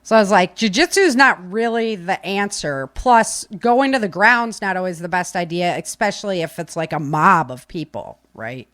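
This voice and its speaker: A woman's voice: on the low side, animated, normal speed, few pauses, a bit bright, no echo. A woman hosting a podcast, chatting informally to live listeners in a loose, rambling way. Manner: informal, filler-heavy, rambling. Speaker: podcast host. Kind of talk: casual chat to live listeners. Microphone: webcam stream setup, set back from keyboard.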